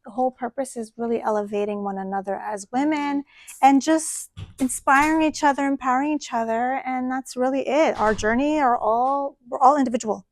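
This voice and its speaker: A woman's voice: sits high, a little fast, no room sound. A woman hosting a podcast in casual conversation, affirming her guest with frequent backchannels. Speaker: podcast host, college teacher. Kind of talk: casual interview chat.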